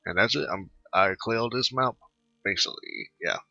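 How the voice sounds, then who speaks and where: A male let's-play host with a very low but strikingly sharp voice. He comments on his game in a low-key, offhand way, on a dry and slightly dull, band-limited mic. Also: expressive, normal speed, vocal fry, no room sound. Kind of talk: casual game playthrough commentary, reading some dialogue aloud.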